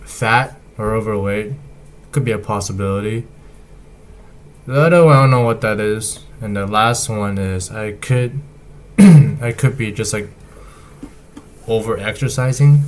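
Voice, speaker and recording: A young man giving a casual health update as a vlogger, talking in a halting way with many fillers and restarts. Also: on the low side. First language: not Chinese.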